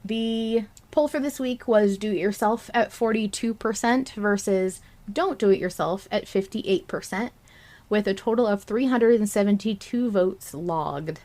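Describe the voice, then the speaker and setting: A woman's voice: mid-range voice, a little slow, darkish tone. A woman hosting a podcast, chatting casually and emphatically, with the odd swear word. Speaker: podcast host.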